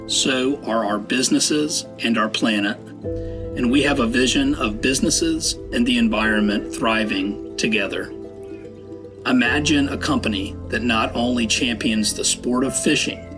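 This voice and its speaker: A man reading a scripted advertisement in a calm, measured, persuasive way. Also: medium pitch. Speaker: ad narrator. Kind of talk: scripted ad read.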